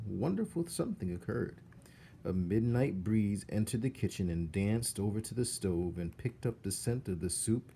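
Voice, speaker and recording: A man's voice: lowish voice, gravelly, mellow, slight background noise. A man reading a children's book aloud as narrator, expressively, with dramatic emphasis on key words.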